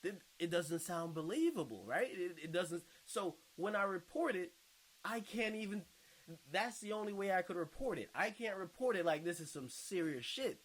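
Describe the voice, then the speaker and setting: Casting: man for casual reaction videos, higher-than-average voice, very animated delivery, slangy and bluntly derisive. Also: moderate pace, rough recording. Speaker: video commentator reading viewer comments.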